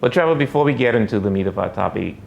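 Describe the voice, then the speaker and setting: A man hosting an interview show, speaking hesitantly with many pauses and fillers. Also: lowish voice, animated, creaky, highs cut off, a little hiss or hum. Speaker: show host.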